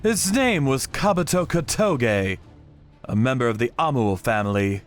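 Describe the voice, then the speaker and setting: A man reading scripted dramatic narration in a grave, measured way. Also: mid-range voice, expressive. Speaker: narrator of a manga story, telling it in first person as a vigilante character. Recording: music behind the voice.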